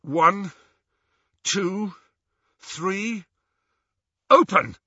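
A man's voice: on the high side, wildly swooping pitch, a little slow, bright, forceful tone, slightly rough, no room sound, lo-fi sound, voice pushed up, heavily acted. A man voicing a determined teenage boy hero in a storybook, insistent and commanding. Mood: urgent. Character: determined, urgent teenage boy hero urging his friend.

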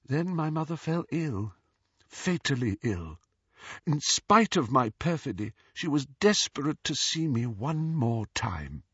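A man's voice: mid-range voice, big pitch swings, measured pace, a bit bright, slightly rough, no echo, dull audio, voice pushed up, full character voice. A man voicing a proud, cold young woman ghost in an audiobook, with a stiff, disdainful and guarded manner. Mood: confessional. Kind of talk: audiobook character voice.

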